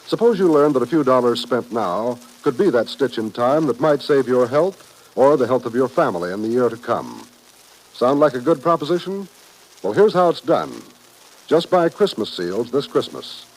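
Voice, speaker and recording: A man's voice: medium pitch, darkish tone, rough recording. A man with an American accent announcing in a polished, emphatic, persuasive old-time radio style. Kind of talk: 1950s radio public service announcement, scripted. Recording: vintage radio broadcast recording.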